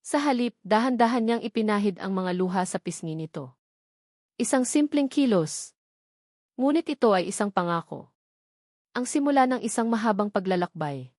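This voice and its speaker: A woman's voice: medium pitch, animated, moderate pace, long pauses, darkish tone, no room sound, clean studio sound. A woman storyteller giving a scripted, dramatic narration of a romance story.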